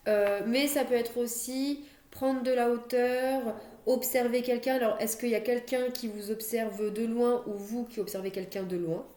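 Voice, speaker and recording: A woman's voice: fairly high pitch, dark tone, echoey room. Young woman with a cold reading tarot cards. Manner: chatty, many fillers and restarts. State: has a cold, coughing. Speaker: tarot reader. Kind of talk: monthly astrology card reading. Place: home, near a stairwell. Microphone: computer mic.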